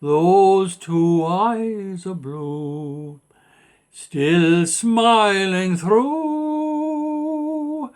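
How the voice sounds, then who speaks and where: A man with an Irish accent singing old ballads in a slow, sustained style. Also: high-pitched, darkish tone. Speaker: singer.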